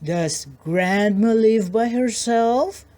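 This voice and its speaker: A woman doing the gruff, sly big bad wolf. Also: a little high, takes it slowly, warm tone. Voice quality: gruff, falsely polite.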